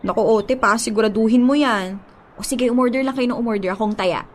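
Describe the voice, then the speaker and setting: A woman's voice: fairly high pitch, brisk, natural voice. An actress playing a stubborn, lovesick young woman in a Filipino radio drama, speaking in an emotive, pleading way. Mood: insistent.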